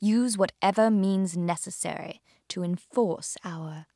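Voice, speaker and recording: An actress playing a poised, dangerous female pirate adviser in a video game, speaking in a cool, measured, formal manner. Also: on the low side, warm tone, no room sound, clean studio sound. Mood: silky, commanding. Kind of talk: video game cutscene acting.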